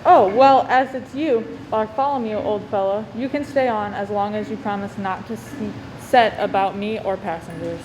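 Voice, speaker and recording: Woman as a brisk, wisecracking bus conductor. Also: mid-range voice, natural voice. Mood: teasing. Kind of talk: radio play acting. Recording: clearly noisy recording.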